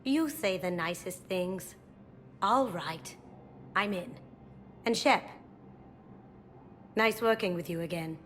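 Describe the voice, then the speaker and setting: An actress playing a spirited, sassy young thief in a video game, with a playful manner. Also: female voice, mid-range voice, takes it slowly, long pauses, a bit bright, soft background music. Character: cheeky, spirited young female master thief.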